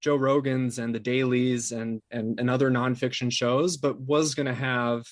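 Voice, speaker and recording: Male interview guest, medium pitch, explains conversationally with trailing pauses and repetitions; dry sound. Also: flat delivery, no room sound. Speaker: interview guest, podcast co-founder and sound designer.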